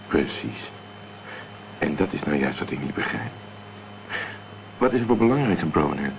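A man's voice: very deep voice, very animated, normal speed, vocal fry, exaggerated voice. A man acting a cool, determined amateur investigator in a Dutch radio crime drama, speaking tersely and matter-of-factly. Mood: analytical. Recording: dull, noisy old radio play recording.

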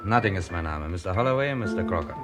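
A dry, authoritative chief inspector in a radio crime play. The voice is on the low side and very varied in intonation, with music clearly audible behind it. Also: male voice, expressive, fast talker. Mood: dry, curt. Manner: curt, authoritative. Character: male police chief inspector, dry and commanding. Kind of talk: radio crime drama acting.

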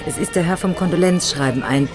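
A woman's voice: low-pitched, a little fast, music in the background, natural voice. An actress playing a practical, teasing woman who gives bossy instructions to a friend in a radio crime drama. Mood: urging, practical.

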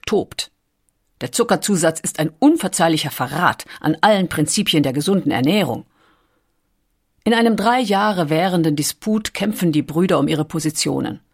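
A woman's voice: fairly low pitch, gravelly, breathy edge. A woman reading scripted documentary narration as a narrator.

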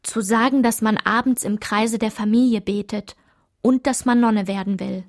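A woman's voice: a little high, steady tone, normal speed, lightly acted. A woman narrating a literary radio play, reading aloud in a composed way.

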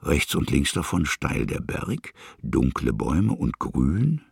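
A man narrates a literary radio play in a very low voice. It is heavily creaky and rough with husky breath, recorded dry and close. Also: very animated, takes it slowly, dry recording. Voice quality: gravelly, husky.

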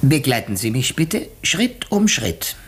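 An actor playing a shrewd, methodical detective in a 1950s radio play, explaining deliberately with dramatic pauses. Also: male voice, mid-range voice, sing-song, a bit bright, breathy edge, full character voice. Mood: measured, deducing. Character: shrewd, methodical male detective unmasking a murderer.

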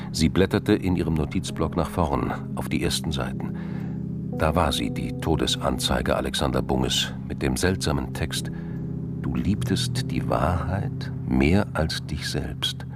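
A man reads scripted narration for a radio crime drama. His voice is extremely deep, with music clearly playing behind it. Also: unhurried.

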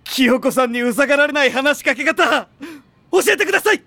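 An actor playing a brash, worshipful teenage schoolboy in an anime audio drama, with bombastic mock-formal phrasing and rough delinquent speech. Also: male voice, very high voice, expressive, a little fast, pushed and bright, no echo, exaggerated voice. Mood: desperate pleading.

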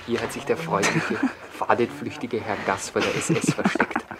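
A man's voice: lowish voice, flat delivery, normal speed, lightly acted. An actor playing a mocking, flippant man on a film crew, talking like a sarcastic announcer in a naturalistic radio drama. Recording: very noisy location-style recording.

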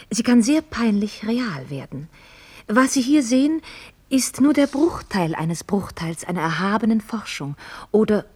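An actress playing a candid, ironic young upper-class woman in a German radio play, speaking in articulate, formal phrases with gentle mockery. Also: on the low side, slightly rough. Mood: earnest, lecturing. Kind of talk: mid-century German radio play acting.

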